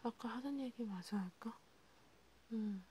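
Whispering woman, mellow and hushed, over noise. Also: a little high. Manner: hushed, slow, halting, conversational. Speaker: ASMR creator playing a counselor. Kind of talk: whispered ASMR counseling roleplay. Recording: noticeable background hiss.

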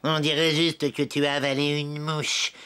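A man's voice: fairly high pitch, flat delivery, moderate pace, bright, forceful tone, clear, resonant tone. A man voicing a bossy, scornful grown elf in a cartoon, with a mocking, theatrical delivery. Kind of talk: French cartoon dub acting.

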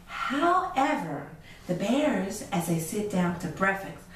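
A middle-aged woman tells a story to children with asides to them. Her delivery is unhurried and swings widely in pitch. She sounds set back from the microphone in a room. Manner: expressive, addressing children directly. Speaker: storyteller and children's show host. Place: indoor room with chalkboard backdrop. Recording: echoey room from a distance, faint noise.

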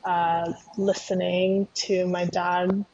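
Soft, low woman on a muffled call. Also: level tone, slow pace. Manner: thoughtful, hesitant with many ums. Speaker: guest, taiko performer. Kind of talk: casual interview talk. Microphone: video call. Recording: muffled video-call audio, faint noise.

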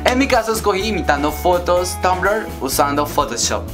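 A man's voice: sits high, animated, normal speed, music in the background. A teenage boy from Colombia with a Colombian accent, chatting to camera in his bedroom and telling a rambling story with many fillers. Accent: Colombian Spanish. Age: teens. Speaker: YouTuber host. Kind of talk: casual vlog chat to camera. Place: bedroom, indoors.

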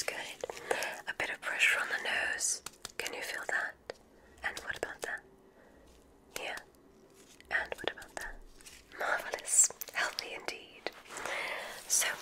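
Slow, whispering ASMR witch woman. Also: poor audio. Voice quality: soft unvoiced whisper. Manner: slow, gentle, soothing instructions with pauses. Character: kindly formal witch examiner at a magic school. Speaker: ASMR roleplay host.

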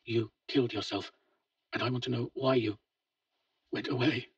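A man voicing a grieving grown son who speaks quietly and haltingly, with a husky voice. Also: medium pitch, fairly even intonation, no echo, pitched above the performer's own voice. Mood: pleading. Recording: dull lo-fi, distant mic.

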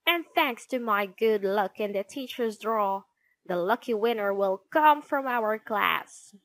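A woman's voice: medium pitch, lively intonation, a little slow, dry recording. A woman voicing a stern, snappish female schoolteacher in a storybook, scolding and commanding. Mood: commanding, irritated.

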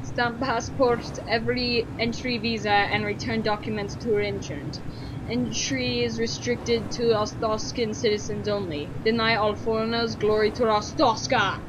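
A woman's voice: on the high side, steady tone, moderate pace, lo-fi sound, noisy background. A woman co-hosting a casual gameplay video, playfully bossy and reading the on-screen game text aloud. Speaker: gaming video co-host. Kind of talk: casual let's-play chat. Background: game music.

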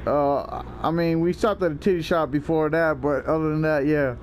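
A young man with a slightly high voice rambles through a prank phone call in casual slang. He stammers and swears as he goes, with faint noise behind him. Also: fairly even intonation, normal speed. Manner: rambling, foul-mouthed, stammering. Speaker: prank caller and video host.

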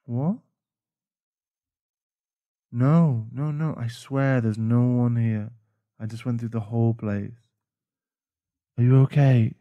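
A man's voice: mid-range voice, steady tone, slow pace, long pauses, dry recording, dull audio, close to an everyday voice. A man playing a protective, tender werewolf boyfriend in an ASMR roleplay, speaking in a soothing, reassuring manner. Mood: soothing, worried.

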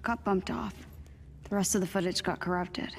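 A woman's voice: mid-range voice, fairly even intonation, normal speed, a little hiss or hum, natural voice. A woman playing an earnest, determined teenage superhero fan in a video game cutscene. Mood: earnest, hopeful. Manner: earnest, pleading.

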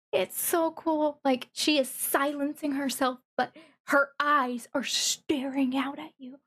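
High-pitched, slow-paced gushing woman streamer. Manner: gushing, awestruck, pausing mid-phrase to think. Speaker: streamer reacting to a game trailer. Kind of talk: trailer reaction commentary.

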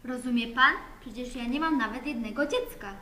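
A kind-hearted, earnest aunt acted by a female amateur pleads in a fairly high voice in a school radio play. The room is echoey, with some noise. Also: normal speed, pushed and bright. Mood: indignant. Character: kind-hearted, aggrieved shopkeeper aunt, childless.

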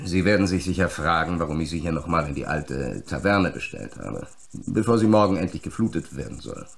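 A weary male film director in a radio play. His low voice is heavy with creak, and he muses in halting, reflective phrases. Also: animated, full character voice. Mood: brooding, nostalgic. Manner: slow, halting, musing monologue.

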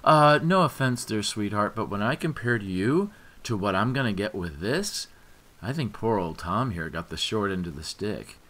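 A man's voice: medium pitch, lively intonation, unhurried, bright, forceful tone, voice pushed up. A man voicing a drunk, jealous ex-boyfriend who snarls and taunts. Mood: sarcastic. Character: drunk, jealous, sneering young male ex-boyfriend.

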